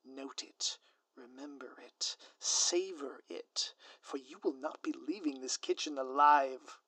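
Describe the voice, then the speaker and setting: A man playing a scheming, theatrical supervillain in a scripted ASMR audio roleplay, with a pompous, florid, drawn-out delivery. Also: medium pitch, bright, forceful tone, no room sound, exaggerated voice. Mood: grandiose, gloating. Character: theatrical scheming male supervillain, gloating, menacing, easily flustered.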